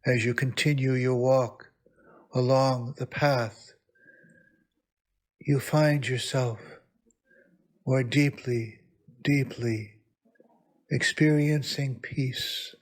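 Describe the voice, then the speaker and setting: A man leading a guided meditation as a priest, speaking reverently in short phrases with long pauses between them. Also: medium pitch, steady tone. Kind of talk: guided meditation, spoken prayer reflection.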